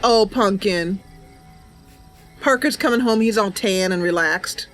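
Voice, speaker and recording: A woman hosting a casual gameplay livestream, chatty and giggly, with hesitant pauses. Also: medium pitch, a bit bright. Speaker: livestream host. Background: game music and ambient sounds.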